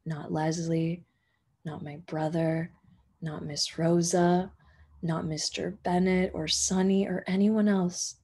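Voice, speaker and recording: Slow, husky woman reading a storybook. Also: fairly low pitch, flat delivery, darkish tone. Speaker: teacher reading a picture book aloud. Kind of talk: expressive children's storybook read-aloud.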